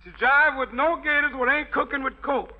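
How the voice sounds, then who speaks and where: A man's voice: very high-pitched, fairly quick, dull audio. A man performing a wisecracking, jive-talking soldier in a 1940s radio variety comedy, reciting garbled orders in playful hep slang on a scratchy old broadcast. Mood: playful, cocky.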